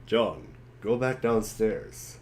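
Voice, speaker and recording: A man's voice: on the low side, very animated, takes it slowly, gravelly. A man reading short scripted commands in a webcomic dramatic reading.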